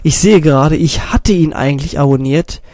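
A young man with a slightly raised voice and a mild cold talks through a channel review, clearing his throat now and then. He rambles, trips over his own sentences and fixes them as he goes. The sound is dry and close, from a stand microphone on his desk. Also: a little high, moderate pace, a little mellow, no echo. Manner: rambling, self-correcting, many fillers. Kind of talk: casual screen-recorded commentary.

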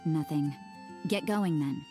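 A woman's voice: low voice, slow, over music. A woman voicing an aloof adult nun in a game cutscene, talking curtly in short clipped lines. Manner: curt, flat, clipped. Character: cool aloof adult nun, blunt and terse.

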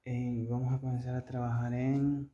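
A man vlogging casually as he works on a motorcycle, explaining things in a halting, piecemeal way. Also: mid-range voice, little pitch movement, dark tone, dull audio. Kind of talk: casual hands-on chat while working. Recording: echoey, noisy workspace recording.

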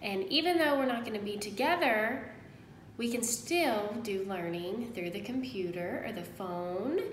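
A woman in her thirties, a kindergarten teacher giving an encouraging read-aloud lesson to young children, pausing after questions. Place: indoors at home, small room. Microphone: phone at arm's length. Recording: roomy echo, faint noise and rumble.

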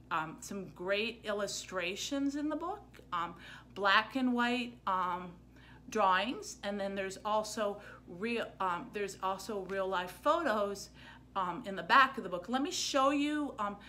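A middle-aged American woman giving an informal book talk to camera as a librarian, halting and full of ums. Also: medium pitch, bright, forceful tone. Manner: halting, hesitant, with very frequent ums. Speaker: librarian and book-stream host. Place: small plain indoor room. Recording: noticeable background noise, room ambience.

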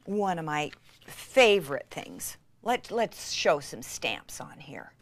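Excitable woman with swooping, animated pitch. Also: dry recording. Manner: excitable and gushing, with breathy exclamations; drops into soft, near-whispered asides. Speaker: craft demonstrator and presenter. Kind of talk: enthusiastic crafting tutorial to camera.

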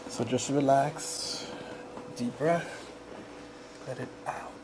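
A man working as a chiropractor, giving a client calm, short, repeated instructions in a soft-spoken way. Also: mid-range voice, takes it slowly. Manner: calm, repetitive short cues. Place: small indoor treatment room. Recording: noisy room ambience.

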